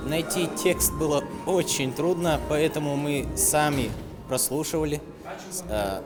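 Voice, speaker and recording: A young man, a singer, talking in a slow, measured way in an interview. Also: fairly high pitch. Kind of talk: interview comment. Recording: music behind the voice.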